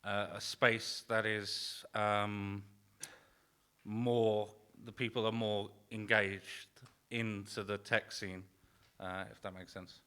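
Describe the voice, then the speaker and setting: A man talks informally at a roundtable in a low, very flat-pitched voice. It is bright and clean-edged, though his delivery is halting, with phrases left unfinished. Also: clear, resonant tone. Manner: halting, trails off mid-phrase. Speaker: demoscene party organizer on a panel.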